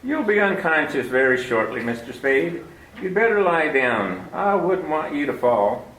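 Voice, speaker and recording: A smooth, scheming villain in an old radio play, purring with unhurried, genteel menace. His pitch swings widely as he coaxes and threatens. The old broadcast recording sounds roomy. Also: sing-song, a bit bright, a little hiss or hum. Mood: sinister. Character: smooth, scheming older male villain, fat man. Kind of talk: 1940s radio acting.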